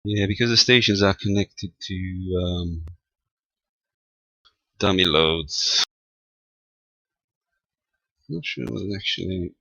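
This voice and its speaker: A man giving a casual, unscripted technical demonstration as a radio hobbyist, talking haltingly and half muttering to himself as he works. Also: low voice, gravelly, sharp tone, dry recording, muffled recording. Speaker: amateur radio operator demonstrating equipment.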